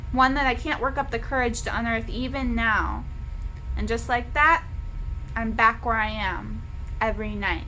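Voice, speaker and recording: A woman reading a first-person novel aloud as expressive storybook narration. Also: fairly high pitch, slight background noise, poor audio. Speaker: read-along reader.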